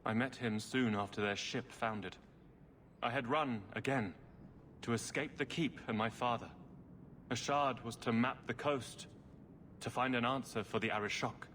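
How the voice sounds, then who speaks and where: A man's voice: mid-range voice, normal speed, fairly bright tone, long reverb tail, a little hiss or hum, natural voice. A man playing an indignant, idealistic young runaway nobleman in video game dialogue. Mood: earnest.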